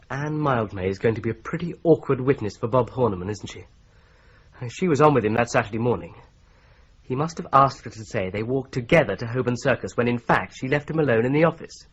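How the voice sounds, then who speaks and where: A probing, thoughtful lawyer. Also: male voice, medium pitch, slightly rough. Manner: questioning and thoughtful. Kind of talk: 1950s-style radio drama acting. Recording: dull, muffled vintage radio recording.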